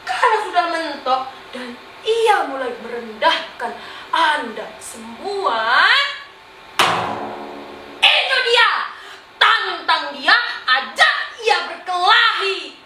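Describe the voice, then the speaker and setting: A shrill, boastful self-styled artist. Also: female voice, very animated, bright, forceful tone, heavily acted. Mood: mocking, scornful. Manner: exaggerated, taunting, drawn-out words with cackles and singsong. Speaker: student stage actor in a monologue competition. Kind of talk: theatrical stage monologue, declamatory. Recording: roomy echo, faint background noise.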